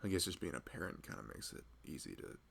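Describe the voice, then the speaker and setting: A man's voice: low voice, big pitch swings, quick pace, vocal fry, a bit bright, slightly rough, exaggerated voice. A man playing a loving husband who rambles tenderly and a little anxiously in an intimate, conversational ASMR roleplay. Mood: anxious, confiding. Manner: rambling, hesitant, conversational, frequent "you know". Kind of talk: intimate ASMR boyfriend roleplay, improvised-sounding chat.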